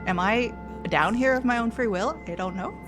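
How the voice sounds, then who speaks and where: A woman's voice: fairly high pitch, normal speed. A woman streaming a game, chatty and playful, often talking to herself. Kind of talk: playing a game live. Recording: game music loud under voice.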